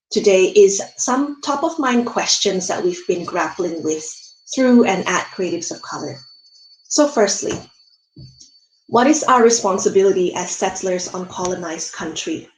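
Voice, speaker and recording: A woman in her early thirties, a native Indonesian speaker, giving a measured online conference talk over a video call and often pausing on filler sounds. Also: mid-range voice, reverberant, a little hiss or hum, poor audio. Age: early 30s. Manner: measured, with frequent filler pauses. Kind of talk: online presentation with audio description.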